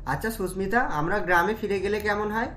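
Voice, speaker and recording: A woman's voice: medium pitch, fairly quick, a bit bright, pitched below the performer's own voice, lightly acted. A woman voicing a young married man in a cartoon, a doting but easily indignant husband who talks emphatically. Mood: reflective. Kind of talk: cartoon story dialogue. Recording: music under the voice.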